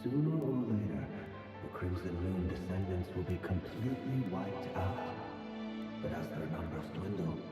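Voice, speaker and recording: Echoing, deep taunting male tormentor. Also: lively intonation. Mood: mocking, cold. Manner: coolly mocking, measured. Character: taunting, insinuating male voice haunting a guilty operative. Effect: heavy reverb. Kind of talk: dramatic video game acting. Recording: cavernous echo, distant, music behind.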